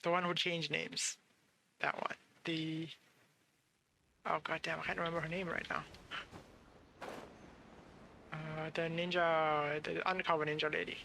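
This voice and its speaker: A man's voice: a little high, little pitch movement, normal speed, vocal fry, noisy background. A man giving casual live commentary as he plays a game, rambling and thinking aloud with mild swearing, over game music. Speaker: game streamer.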